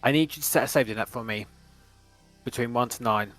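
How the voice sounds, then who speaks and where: A man hosting a quiz show in a measured, formal manner, sometimes restarting his phrases. His voice sits at a middle pitch and sounds dry and close to the mic. Faint music plays behind him. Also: fairly bright tone, no echo.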